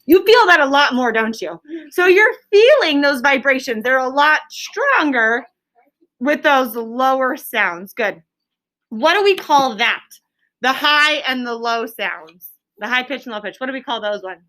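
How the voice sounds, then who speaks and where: A woman's voice: high-pitched, expressive, a little slow, pushed and bright. A woman teaching an elementary class, quizzing pupils in a firm, directive manner. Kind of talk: classroom lesson with question and answer.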